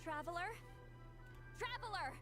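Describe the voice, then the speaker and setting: A woman's voice: very high voice, lively intonation, measured pace. An actress playing a blunt, resolute, ageless female shaman in a video game. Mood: urgent, surprised. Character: brusque, determined young-sounding female shaman, two centuries old. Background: game music.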